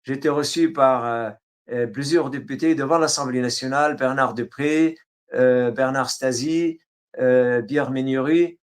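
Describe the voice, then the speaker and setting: A middle-aged man with an Arabic accent, a native Arabic speaker from Syria, telling his life story as an interview guest, halting, with many fillers and restarts. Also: medium pitch, steady tone. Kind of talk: autobiographical interview.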